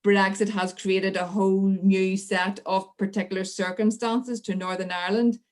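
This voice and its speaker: A woman speaking as a podcast guest, halting, with many restarts. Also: mid-range voice, little pitch movement. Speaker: interview guest. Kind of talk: podcast interview.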